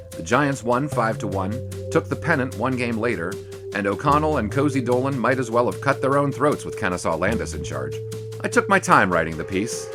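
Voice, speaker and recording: A wry 1920s sportswriter, played by a man, telling his own story in reflective first person. His lowish voice moves with lively pitch over music playing clearly behind him. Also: close to an everyday voice. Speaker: narrator.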